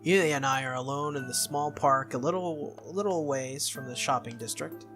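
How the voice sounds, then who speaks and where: A game playthrough host reading visual novel text aloud as expressive narration, with casual asides. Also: medium pitch, moderate pace, soft background music.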